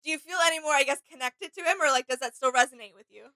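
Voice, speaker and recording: Female reaction co-host, high bright voice, smooth and clean, casual conversational delivery. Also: racing, no echo.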